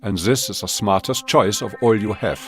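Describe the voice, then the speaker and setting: An actor playing a stern Soviet army officer giving scripted mission briefings in a war game. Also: male voice, lowish voice, normal speed, over loud music, close to an everyday voice. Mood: grave, commanding.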